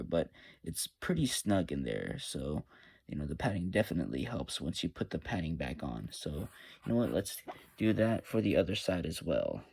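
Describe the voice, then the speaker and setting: A man casually talking viewers through a hands-on gear install, relaxed and hesitant with frequent fillers. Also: low-pitched, creaky, slightly rough. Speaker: YouTube motorcycle gear reviewer. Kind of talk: casual step-by-step install walkthrough.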